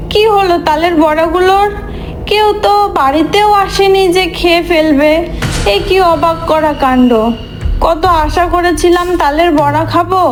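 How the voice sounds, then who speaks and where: Cartoon wife, played by a woman: brisk, scolding, very high-pitched. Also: a little hiss or hum, poor audio. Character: village wife who cooks, young adult. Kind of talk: cartoon voice acting.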